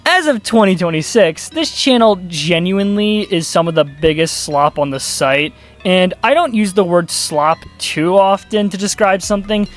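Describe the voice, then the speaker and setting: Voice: mid-range voice, normal speed, few pauses, faint music behind. A video commentator talking casually in an exasperated, sarcastic way and swearing freely. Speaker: YouTube video essayist. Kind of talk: casual commentary with scripted narration.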